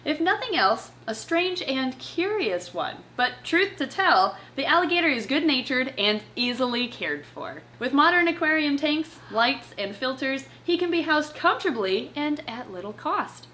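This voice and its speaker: A young woman hosting in a casual, chatty way, with an expressive, playful manner. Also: sits high, moderate pace, hardly any pauses. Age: young adult. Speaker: host of a reptile channel. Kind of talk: casual chatty presenting with read-aloud passages. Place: living room at home, on a couch. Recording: clear background room noise.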